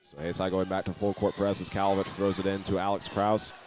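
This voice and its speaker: A man with a lowish, fairly flat voice calls a live high school basketball game. He narrates each play methodically as the announcer. Clear gym noise and bouncing balls sit behind him. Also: a little fast.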